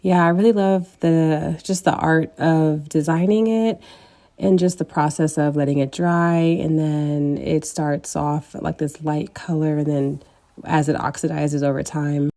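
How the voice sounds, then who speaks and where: A young woman with a raspy voice from a cold, narrating her vlog in an easygoing, meandering way and poking fun at her own mistakes. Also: low-pitched, fairly even intonation, unhurried, dark tone. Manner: easygoing, meandering and self-deprecating. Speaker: vlogger.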